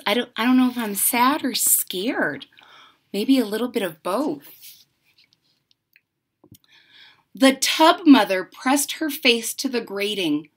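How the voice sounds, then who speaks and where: Casting: female storyteller, 30s-40s, lively pitch swings, unhurried, emphatic delivery for young listeners. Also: slightly rough. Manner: deliberate, dramatic emphasis. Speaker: storyteller reading a picture book aloud. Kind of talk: expressive children's read-aloud with chatty asides. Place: room at home.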